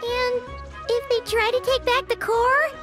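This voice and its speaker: A woman voicing a tiny, childlike fairy companion in a video game, squeaky, wide-eyed and inquisitive. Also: flat delivery, measured pace, over music. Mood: amazed, concerned.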